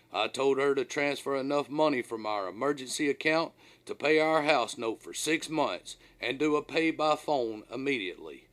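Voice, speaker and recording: A man's voice: medium pitch, a bit bright. A man reading his own first-person memoir as an audiobook, in a folksy, dramatic storytelling manner.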